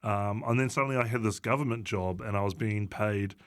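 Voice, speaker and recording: Man, New Zealand accent, lowish pitch, casual comic chat, halting delivery, wry, podcast guest.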